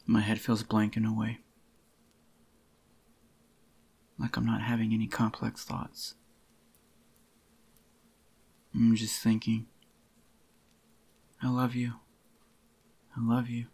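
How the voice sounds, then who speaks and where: A possessive yandere boyfriend, acted by a man, murmurs tenderly in a soft-spoken ASMR roleplay. His voice is on the low side, husky and a little groggy, with a crackly fry. He sounds intimate and doting, as if soothing his sweetheart. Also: completely flat delivery, unhurried, long pauses, full character voice. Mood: lovestruck.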